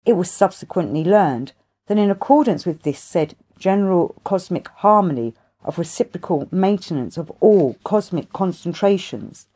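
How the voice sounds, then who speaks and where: A woman voicing a wise, ancient grandfather in an audiobook, telling his tale in a formal, measured, long-winded way. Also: lowish voice, mellow, breathy edge, exaggerated voice.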